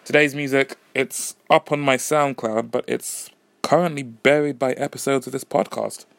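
A man's voice: medium pitch, steady tone, normal speed, creaky, no room sound. A man hosting his own podcast alone, musing in a rambling, self-deprecating way. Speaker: podcast host and musician. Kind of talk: casual solo podcast chat.

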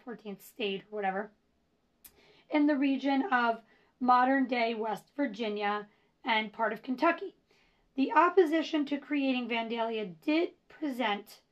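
A woman hosts her own history podcast in a loose, chatty way, wandering into self-deprecating asides. Her voice sits a bit high and is going hoarse. The recording sounds dull and lo-fi. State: losing her voice. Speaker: podcast host.

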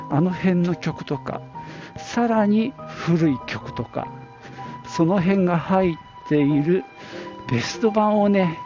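A middle-aged or older man chatting casually on his own as a podcast host, reminiscing in a reflective way and thinking aloud. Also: a little high. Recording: dull lo-fi audio, prominent music. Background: music.

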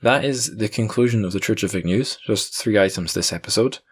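A man's voice: on the low side, fairly quick, husky. A man hosting a podcast in a casual, chatty way with wry asides. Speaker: podcast host.

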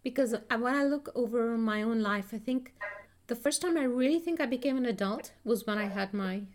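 A woman in her fifties talks in a relaxed, reflective chat, her voice sitting a little high. She laughs easily between thoughts. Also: fairly quick, slight background noise. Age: 50s.

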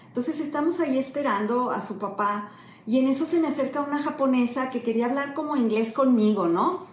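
A woman's voice: a little high, darkish tone, a little hiss or hum. Earnest woman pastor, echoey room. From Mexico. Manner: earnest storytelling, slow and emotional. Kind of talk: sermon-style testimony. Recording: echoey room, faint ambience.

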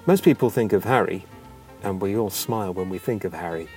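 A man narrating a written memoir in an expressive, measured, theatrical storytelling manner. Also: sits low, soft background music.

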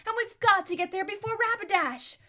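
A woman voicing a proud, impatient noble girl who speaks in a brisk, commanding way. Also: extremely high pitch, bright, forceful tone, pitched above the performer's own voice.

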